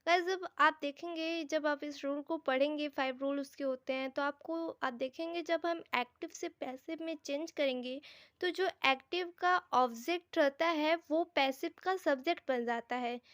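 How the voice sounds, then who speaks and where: A woman's voice: high voice, normal speed, a bit bright, no room sound, clean studio sound. A woman with an Indian accent giving an informal grammar lesson as a teacher, explaining things directly to her students. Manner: explanatory, addresses viewers directly, mixes Hindi and English.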